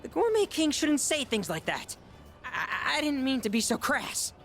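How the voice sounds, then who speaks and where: Pompous, gluttonous schoolboy with wild pitch swings. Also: male voice, sing-song, moderate pace, fairly bright tone, soft background music, full character voice. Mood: flustered. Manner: bombastic, dramatic swings between squeals and low asides. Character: gluttonous, pompous, theatrical male high-school student, self-styled gourmet. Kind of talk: video game voice acting.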